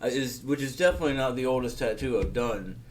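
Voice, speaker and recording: A man's voice: mid-range voice, animated, fast talker. Tattoo guy on a podcast mic, medium voice, chill and rambly, cusses a lot. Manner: rambling, swearing, laid-back. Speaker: podcast guest, tattoo artist. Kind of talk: casual chat. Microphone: podcast microphone.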